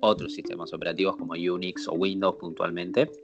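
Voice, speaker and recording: Very deep-voiced man explaining. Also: little pitch movement, fairly quick, dull audio, music in the background. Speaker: co-host. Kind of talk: casual tech chat.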